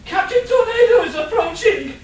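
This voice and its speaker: A woman's voice: very high voice, lively intonation, moderate pace, darkish tone. A woman playing a scheming professor in an amateur comedy radio play, theatrical and exclamatory. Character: scientist professor villain, incredulous, scheming accomplice. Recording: roomy, distant live convention recording with noise.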